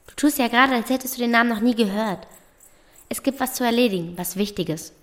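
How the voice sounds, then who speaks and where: A woman's voice: fairly high pitch, fast, fairly bright tone, close to an everyday voice. A woman playing a brash, defiant thirteen-year-old girl in a radio play, with a pushy, snappy, slangy way of talking. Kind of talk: German radio play acting.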